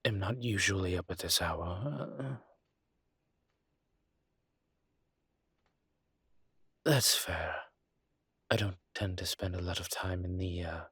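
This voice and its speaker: A man playing a courteous, wry vampire with formal, hesitant phrasing and a husky voice in an audio roleplay. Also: sits low, measured pace, a bit bright, no echo, studio quality, exaggerated voice. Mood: apologetic, wry. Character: courteous, wry adult male vampire wary of daylight. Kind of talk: one-sided audio roleplay acting.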